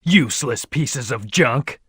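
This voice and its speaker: A man's voice: medium pitch, expressive, slow, sharp tone, breathy edge, no echo, studio quality, heavily acted. A man voicing a serious young hedgehog antihero in a video game, intense and terse. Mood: brooding.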